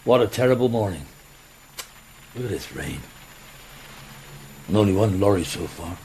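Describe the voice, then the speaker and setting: A patient middle-aged detective, gravelly and hesitant, radio play, bit of background noise. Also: male voice, low-pitched, lively intonation, normal speed, dark tone, poor audio. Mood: wry, mild. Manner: hesitant, murmuring, stammers mildly. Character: patient middle-aged police inspector on holiday, wry husband. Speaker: actor in the lead role. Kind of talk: naturalistic radio drama acting.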